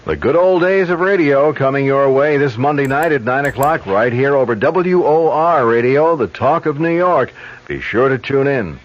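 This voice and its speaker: A man reading station announcements and sponsor credits in a smooth, even announcer manner. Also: mid-range voice, normal speed. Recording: muffled old radio broadcast with noise.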